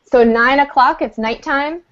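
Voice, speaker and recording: A woman teaching English on a video call, her voice pitched a little high and delivered patiently, clearly and encouragingly. The audio is dull and lo-fi. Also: unhurried, a bit bright. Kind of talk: online group class.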